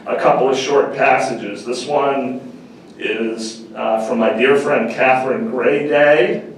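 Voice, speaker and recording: A middle-aged man giving an earnest, measured talk as a panel speaker, repeating phrases for emphasis. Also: mid-range voice, slight background noise. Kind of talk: reflective public talk. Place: university meeting room. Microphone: far camera. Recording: echoey room from far off.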